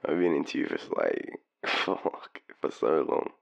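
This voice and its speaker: A man acting a very deep, gravelly tipsy Australian. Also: very animated, dry recording, exaggerated voice. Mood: tender, nervous. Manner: hesitant, rambling, halting, breathy chuckles. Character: tipsy, shy, lovestruck young man phoning his crush. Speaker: voice actor in boyfriend roleplay. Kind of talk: intimate audio roleplay, one-sided phone call.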